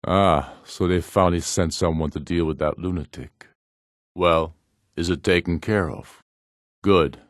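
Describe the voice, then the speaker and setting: A man playing a proud, commanding rebel jarl in a fantasy video game, speaking with formal, measured authority. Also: deep voice, no room sound, studio quality. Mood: grave, businesslike.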